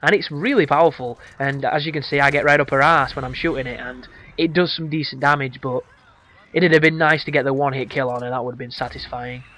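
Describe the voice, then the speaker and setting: A hoarse man talks through a game walkthrough in a mid-pitched voice. His delivery is loose and crude, full of rambling asides. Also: fairly even intonation, quick pace, bright, forceful tone. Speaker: gameplay commentator.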